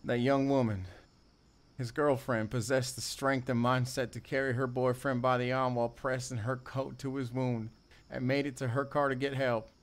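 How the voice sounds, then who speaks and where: An actor playing a remorseful drifter confessing a violent past in audio theater, speaking haltingly and broodingly. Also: male voice, medium pitch, flat delivery, lightly acted. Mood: haunted, regretful.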